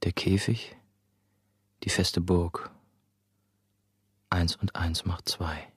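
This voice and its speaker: A man's voice: low voice, flat delivery, very slow, husky, dry recording, studio quality, exaggerated voice. An actor playing a subdued, brooding young man who narrates a radio play introspectively.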